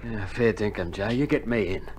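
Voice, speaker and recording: An actor playing a cheeky, complaining Australian army private in a radio drama, talking in slangy colloquial style. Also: male voice, on the low side, moderate pace, a little mellow, breathy edge. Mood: griping. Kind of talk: 1960s radio drama acting. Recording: noisy old vinyl radio recording.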